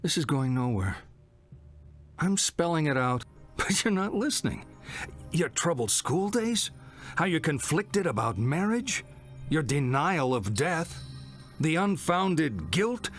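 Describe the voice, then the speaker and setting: A man's voice: fairly high pitch, very animated, takes it slowly, fairly bright tone, full character voice. An actor playing a provocative, impatient male psychiatrist in a video game, theatrical and pointed, asking rhetorical questions. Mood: exasperated, scornful. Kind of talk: dramatic video game acting. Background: music.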